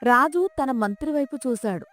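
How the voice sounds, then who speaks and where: A woman's voice: high voice, expressive, moderate pace, faint music behind. A woman narrating a scripted folk tale as its storyteller.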